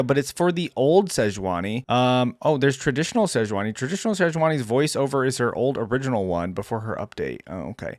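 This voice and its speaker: A man's voice: medium pitch, no echo, studio quality. A man hosting a reaction video, giving casual fan commentary on video game voice lines.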